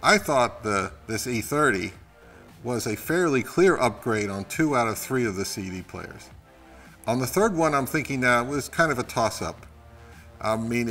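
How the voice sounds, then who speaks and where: A man's voice: medium pitch, sharp tone. A middle-aged man, narrating a product review of audio gear.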